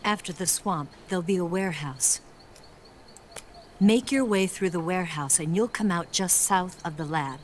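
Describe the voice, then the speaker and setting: Poised female spy and informant for a game cutscene woman acting, lowish voice, coolly teasing, faint night insects behind. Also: normal speed, a little hiss or hum, natural voice. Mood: briefing, matter-of-fact. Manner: poised, coy.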